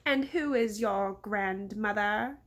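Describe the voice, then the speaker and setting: Woman playing a slow, sneering British male mayor. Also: medium pitch, lightly acted. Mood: sneering, menacing. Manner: drawn-out, condescending delivery. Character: sneering pompous rude male mayor, easily irritated.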